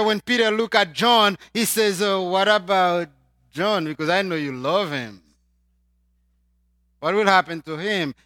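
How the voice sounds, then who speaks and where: A man from Haiti with a Haitian accent, preaching a sermon and swinging between loud emphatic lines and quiet asides. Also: high voice, dry recording, studio quality.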